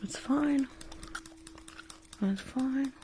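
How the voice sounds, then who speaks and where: A woman's voice: high voice, very slow, warm tone, a little hiss or hum. A woman voicing a rude, impatient, gum-chewing New York school nurse in an ASMR roleplay, repeating herself in a brusque, mock-soothing way close to the microphone. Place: small bedroom. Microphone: close furry-covered condenser microphone.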